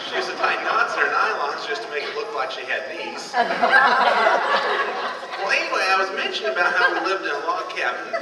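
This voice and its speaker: A man performing a folksy, countrified old-time comedy monologue, telling stories with drawn-out setups in homespun phrasing. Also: high voice. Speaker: amateur comedian. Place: large hall in front of a live audience. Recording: echoey hall from far off, noisy.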